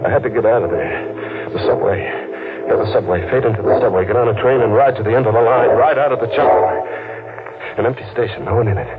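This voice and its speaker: A man's voice: medium pitch, quick pace, natural voice. Guy doing a noir man on the run, tense and breathless, phone-thin old radio with music. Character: hunted man on the run, frantic and hard-boiled. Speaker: narrator and lead. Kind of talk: 1940s radio acting. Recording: tinny old radio recording with loud music.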